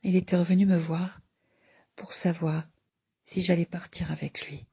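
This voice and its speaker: A woman reading her own written personal story aloud in a measured, subdued way, on a dull home recording. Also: on the low side, little pitch movement, dark tone, breathy edge, dry recording.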